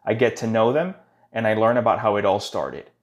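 A man's voice: lowish voice, a little fast. A man speaking as a podcast host, introducing his show and guest and leading an interview.

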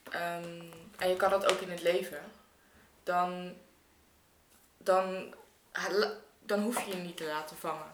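A woman's voice: fairly low pitch, background noise. A woman talking casually and reflectively in a group conversation, thinking aloud and repeating phrases for emphasis. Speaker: artist, podcast guest. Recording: roomy, echoing room sound.

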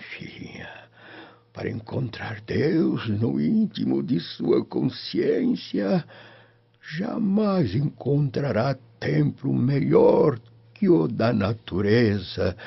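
A frail old Roman patriarch in a radio drama, very husky and creaky. He speaks solemnly and tenderly, with a lively rise and fall. The recording is muffled, with soft music underneath. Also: mid-range voice, extremely slow, heavily acted. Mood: reverent. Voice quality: husky, breathy, quavering.

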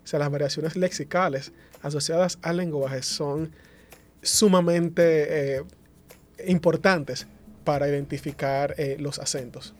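Guy explaining like a lecture, slightly high voice, some ehs. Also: fairly even intonation, a little slow. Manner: lecturing, with fillers and restarts. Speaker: panelist. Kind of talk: explanatory academic talk.

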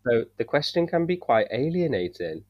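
A man from the UK, a podcast guest, talking in an earnest, measured way and searching for words at times. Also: mid-range voice, sing-song, no room sound. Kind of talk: casual discussion. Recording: dull dry sound.

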